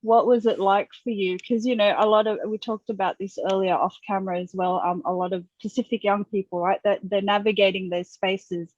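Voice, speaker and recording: A woman's voice: medium pitch, steady tone, brisk, few pauses, a little mellow. A woman with an Australian accent, hosting a casual interview in a conversational, relatable way. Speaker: interviewer, host. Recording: roomy, dull lo-fi sound.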